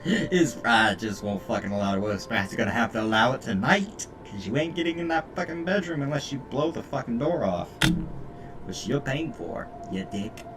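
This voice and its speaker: A man's voice: medium pitch, lively intonation, normal speed, background noise. A man live-streaming a game, reading the story aloud with stumbles and sweary commentary. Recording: distant mic, game music behind. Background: game music.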